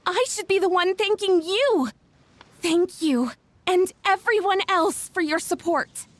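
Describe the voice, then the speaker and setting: A woman's voice: very high-pitched, a little slow, no room sound. A woman voicing an earnest, modest young female event organizer in a game, easily flustered and effusive. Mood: grateful, eager. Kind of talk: English game dub acting.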